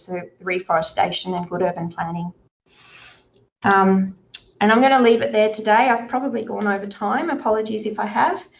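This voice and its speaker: A woman's voice: medium pitch, creaky, slight background noise. Earnest woman presenting over a video call. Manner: earnest, many restarts and fillers. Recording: dull lo-fi video call audio.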